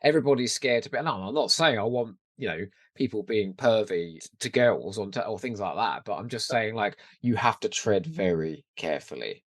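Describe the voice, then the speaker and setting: Low-voiced British man chatting on a podcast. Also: animated, fairly quick, few pauses, no echo, clean studio sound. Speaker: podcast co-host. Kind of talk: casual opinionated podcast chat.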